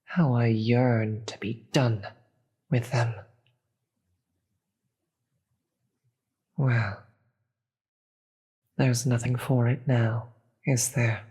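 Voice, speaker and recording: A performer as a slow, husky, world-weary demon queen. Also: mid-range voice, a little mellow. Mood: weary, bitter. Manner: theatrical, formal, slow deliberate delivery. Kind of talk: scripted audio roleplay.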